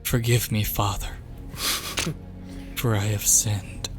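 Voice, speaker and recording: A man's voice: lowish voice, steady tone. A man doing a grave confessing sinner. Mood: solemn. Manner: slow, grave, breaking into stifled laughs. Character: solemn guilt-ridden man confessing to a priest. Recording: dramatic choral music behind voice.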